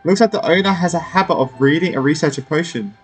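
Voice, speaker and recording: A man giving casual, off-the-cuff let's play commentary, halting and repeating his phrases as he goes. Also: fairly high pitch, breathy edge, soft background music. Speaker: gaming video host.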